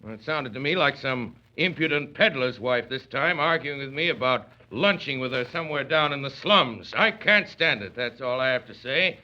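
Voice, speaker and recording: Blustering, excitable, irascible father, sharp-voiced. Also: mid-range voice, moderate pace, few pauses. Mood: stern, impatient. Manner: blustery, sputtering, emphatic, old-fashioned mild cursing. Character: blustering irascible domineering father, comically exasperated. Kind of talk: 1930s radio comedy acting. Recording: muffled vintage 1930s radio recording.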